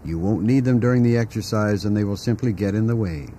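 A man narrating a scripted guided meditation in a calm, deliberate way, giving instructions with pauses. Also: lowish voice, slight background noise.

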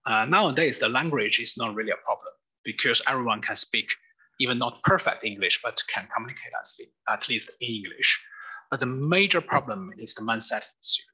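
A middle-aged man from mainland China with a Chinese accent lectures over slides in a webinar. His voice is very bright and a little husky, and his delivery is hesitant, with frequent fillers and restarted phrases. He speaks from an office desk into a webcam. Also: medium pitch, expressive, a little fast, poor audio. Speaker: lawyer giving a lecture.

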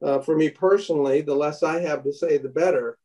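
A man in his fifties leading a bible study on a video call, reading aloud from a book with halting restarts. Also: a little high, darkish tone, distant mic. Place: home room indoors. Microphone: laptop webcam on a video call.

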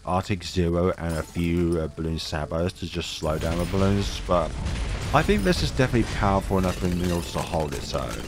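A man of thirty with a deep voice and a cold, giving calm, rambling commentary over a game as its host. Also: background noise. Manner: calm, rambling, chatty tangents. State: has a cold. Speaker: gaming channel host. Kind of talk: relaxed gameplay commentary. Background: game music.

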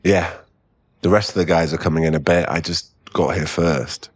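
A man's voice: low-pitched, steady tone, slightly rough, no room sound. A man acting a shy, stammering young boyfriend in an ASMR roleplay audio drama. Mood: awkward, shy. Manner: halting, stammering, repeats words. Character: young male millionaire boyfriend, shy, later tipsy and clingy.

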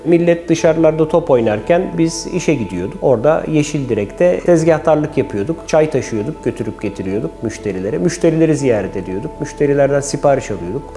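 A man in his forties speaks in a relaxed, warm tone at a middle pitch. He narrates like an executive in a documentary interview, recorded indoors on a lapel mic. Music plays softly behind his voice. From Istanbul, Turkey. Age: 40s. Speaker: company chairman telling his business story. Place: quiet office room, indoors. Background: background music.

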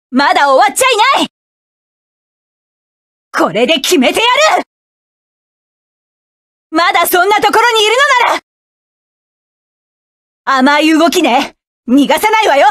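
A woman's voice: very high voice, a bit bright, no room sound, clean studio sound, full character voice. An actress voicing a proud, commanding adult woman mecha pilot, delivering curt battle lines for a video game. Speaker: game character.

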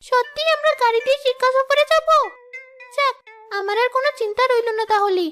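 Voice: very high voice, fairly quick, pushed and bright, faint music behind. A performer voicing a young ghost schoolgirl in a children's cartoon, polite and eager. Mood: relieved.

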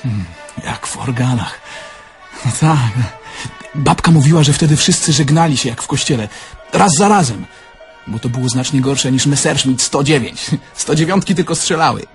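An actor playing a naive, candid young Polish car thief in an audio drama, talking in a plain, conversational way. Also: male voice, medium pitch, expressive, moderate pace, faint music behind, natural voice. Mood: matter-of-fact. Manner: conversational, plain-spoken, reminiscing.

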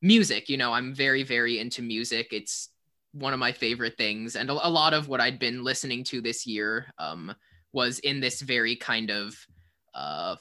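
A man's voice: medium pitch, moderate pace, pushed and bright. A man in a casual panel discussion, talking in a rambling, hedging, thoughtful way as a sound designer. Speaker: co-composer and sound designer of a theater show.

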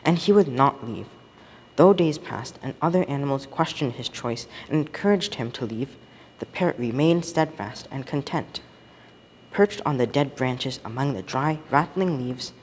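Teen guy reading a story out loud, measured and expressive, roomy reverb, bit of background noise. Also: mid-range voice. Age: teenager. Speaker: student story reader. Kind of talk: scripted storytelling read aloud.

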